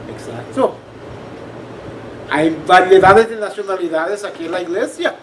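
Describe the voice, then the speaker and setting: A man with a Caribbean Spanish accent and a high voice teaches a Bible class in a conversational way. He explains through anecdotes and checks that people understand. He is picked up from a distance in an echoey room with some background noise. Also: a little slow. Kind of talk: informal church teaching with anecdotes. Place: church room.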